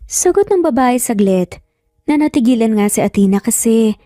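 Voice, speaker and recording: A woman's voice: a little high, animated, normal speed, warm tone, no room sound, studio quality. A Filipino woman reading a scripted romance story as a narrator. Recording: background music under voice. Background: music.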